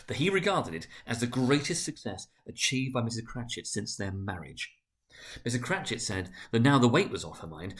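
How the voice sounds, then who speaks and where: A man narrating a story as a dramatic reading, theatrical and expressive. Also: on the low side.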